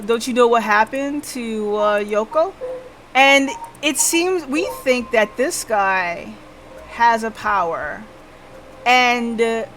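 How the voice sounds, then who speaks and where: A woman's voice: on the high side, fairly bright tone. A woman livestreamer casually recapping a game, thinking aloud and checking in with listeners, with music behind her. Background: background music.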